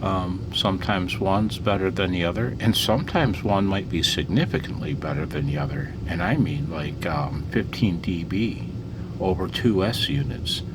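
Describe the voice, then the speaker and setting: Very deep-voiced man, slow and casual. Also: background noise. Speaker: amateur radio hobbyist demonstrating antennas. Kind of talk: casual unscripted demonstration commentary. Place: indoors at a home radio station.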